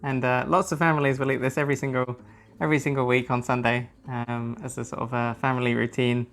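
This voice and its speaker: A man's voice: mid-range voice, little pitch movement, fast, a bit bright. A man from the London area with a southern English accent, chatting casually and explaining things in an informal, hesitant way. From London area, England. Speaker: guest, native English speaker. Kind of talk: casual chat. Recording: music behind the voice. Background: guitar music.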